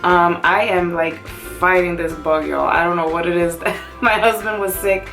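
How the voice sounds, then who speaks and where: A woman, a beauty vlogger talking casually to camera while a bit hoarse from a cough, filling gaps with many "um"s. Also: fairly low pitch, steady tone, measured pace, fairly bright tone, soft background music. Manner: chatty, many "um"s and side remarks. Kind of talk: casual makeup review to camera.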